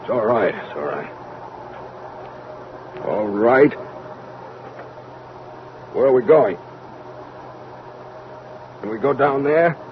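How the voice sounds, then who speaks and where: An actor playing a stubborn, disbelieving army major who speaks urgently and emphatically in 1940s radio drama style. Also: male voice, mid-range voice, big pitch swings, slow pace, long pauses. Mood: numb. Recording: dull, noisy old radio recording.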